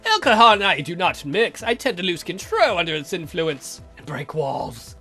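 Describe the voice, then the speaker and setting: A man's voice: high-pitched, big pitch swings, moderate pace, bright, forceful tone, faint music behind, full character voice. A man voicing a grumpy, defensive old vampire count from a visual novel, indignant, dry and given to swearing.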